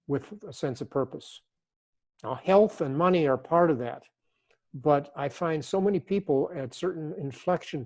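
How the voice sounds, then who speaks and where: A man's voice: fairly high pitch, dark tone, muffled recording. Reflective older man sharing his ideas. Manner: thoughtful, repeats and restarts phrases. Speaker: author and guest. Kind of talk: reflective interview talk.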